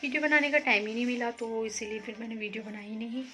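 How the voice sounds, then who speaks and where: A woman vlogging about her day in a casual, rambling way, a little hoarse from being unwell. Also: a little high, fast talker, fairly bright tone, soft background music. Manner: chatty, rambling, explains herself to viewers. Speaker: vlogger housewife. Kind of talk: casual daily vlog chat.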